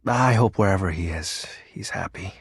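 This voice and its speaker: An actor voicing a lonely, brooding personification of darkness for a video game, theatrical and grandiose yet awkwardly sincere. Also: male voice, sits low, moderate pace, creaky, husky, no room sound, exaggerated voice. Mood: wistful, downcast. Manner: theatrical grandiose villain speech slipping into awkward, wistful sincerity. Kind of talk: dramatic video game character acting.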